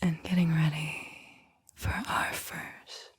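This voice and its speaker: A woman whispering a guided visualization in an ASMR style, with a breathy, airy voice and a soothing, deliberate delivery. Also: deep voice, flat delivery. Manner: slow, soothing, deliberate delivery with repeated phrases. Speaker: host, guided visualization narrator.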